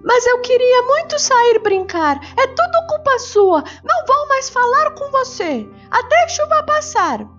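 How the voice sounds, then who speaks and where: A woman's voice: extremely high pitch, very animated, unhurried, hardly any pauses, pitched above the performer's own voice, full character voice. A woman voicing a bored, indignant little boy who whines close to tears. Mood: whiny. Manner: whiny, tearful. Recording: slightly muffled with soft music.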